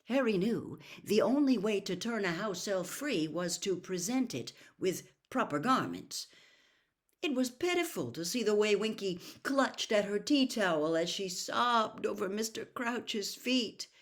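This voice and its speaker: A woman reading a storybook aloud as an expressive narrator of scripted fiction. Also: medium pitch, unhurried. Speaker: audiobook narrator.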